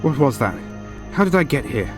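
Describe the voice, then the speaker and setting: A man's voice: medium pitch, very animated, normal speed, far from the mic, natural voice. A man playing a shaken, bewildered local caught up in a horror audio drama, startled and anxious. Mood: dazed. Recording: loud music, slightly off-mic.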